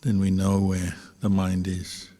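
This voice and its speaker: A man, a Buddhist monk, guiding a meditation calmly and without hurry, with a husky voice and long pauses between short phrases. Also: sits low. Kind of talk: guided meditation instruction. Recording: reverberant hall, long echo.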